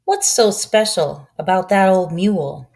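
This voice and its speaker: A woman's voice: fairly low pitch, unhurried, vocal fry, darkish tone. A woman voicing a curious, polite young boy in a storybook, asking questions earnestly and a little hesitantly. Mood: puzzled, polite.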